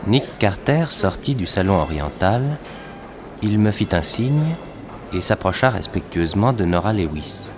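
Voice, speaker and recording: An actor playing a young, streetwise detective's assistant who narrates an early sixties radio adventure in a matter-of-fact way. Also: male voice, on the low side, big pitch swings, a little slow, heavy background noise. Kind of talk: early 1960s radio drama narration and dialogue. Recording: old radio production with music behind.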